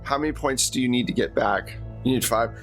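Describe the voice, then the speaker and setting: A man's voice: medium pitch, fairly quick, faint music behind. A man working as game master, recapping and narrating a tabletop game in an informal, conversational way.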